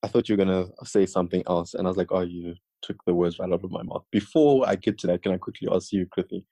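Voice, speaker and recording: A man's voice: deep voice, fairly even intonation, fast, vocal fry, dark tone, no echo. A young South African man co-hosting a podcast, chatting casually and joking with his co-host.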